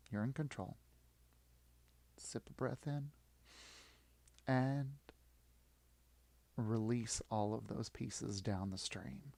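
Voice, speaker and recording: A man leading a guided yoga nidra meditation in short, soothing, encouraging phrases with long pauses. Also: medium pitch, creaky, dark tone, dry recording.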